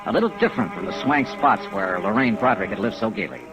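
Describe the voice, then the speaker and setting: A man's voice: on the low side, fast talker, muffled recording, music in the background. A man acting a tough, wry, clipped insurance investigator in a 1950s radio detective drama. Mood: wry, dramatic narration. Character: brisk, tough, steady male insurance investigator. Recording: vintage radio recording, steady rain noise.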